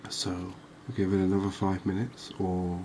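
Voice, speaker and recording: A man with a low-pitched, flat voice talks through a game as its host. He is unhurried and conversational, musing in an easy ASMR commentary style. Clear background noise sits behind him. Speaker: gaming video host. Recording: clearly noisy background ambience.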